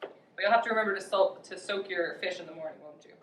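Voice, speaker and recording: A young woman hosting a casual outdoor cooking demo, chatty and quick to laugh. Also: lowish voice. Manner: chatty, laughs often, upbeat. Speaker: outdoor cooking show host. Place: outdoor cooking spot at a river park. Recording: echoey, distant, faint noise.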